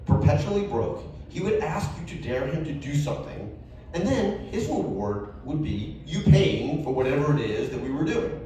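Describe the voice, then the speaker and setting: A man reading a story aloud at a live event in an animated, conversational comic way. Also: on the high side, normal speed. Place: live event venue, roomy indoor space. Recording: echoey room, distant mic, background noise.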